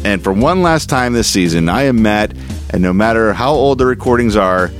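A man's voice: fairly low pitch, lively intonation, moderate pace, faint music behind. A man hosting a podcast, delivering a scripted outro. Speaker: podcast host.

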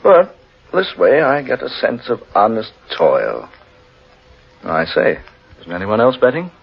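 Genteel gentleman, very raspy, lively intonation, 1940s radio drama, muffled recording. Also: mid-range voice, measured pace, exaggerated voice. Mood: genial. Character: eager, intrigued English gentleman fond of eerie tales.

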